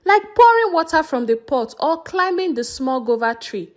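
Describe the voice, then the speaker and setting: A female storyteller reads a folktale from a script. Her voice is high, and her pitch moves up and down in a very lively way. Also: normal speed.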